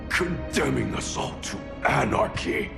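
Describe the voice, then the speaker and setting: An actor playing a brawny but sharp man who bluntly challenges a villain in a video game cutscene. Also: medium pitch, big pitch swings, unhurried, gravelly, music in the background, full character voice. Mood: wary, challenging.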